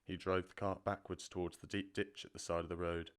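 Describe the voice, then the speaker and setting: A man narrating a classic children's story with measured, expressive delivery on a close mic. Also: sits low, no room sound. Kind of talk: audiobook narration.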